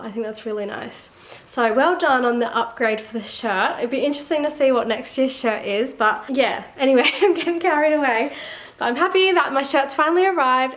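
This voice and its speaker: An Australian woman and teacher-seller chatting casually to camera in a vlog, a little congested and croaky, rambling with lots of fillers. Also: high voice. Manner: rambling, filler-heavy, laughs often. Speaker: teacher and online resource seller.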